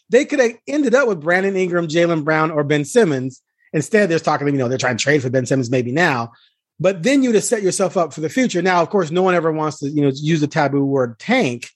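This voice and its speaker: A man's voice: on the high side, no echo. Emphatic man talking sports, dry close sound. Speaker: podcast host and sports reporter. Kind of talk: casual sports podcast talk.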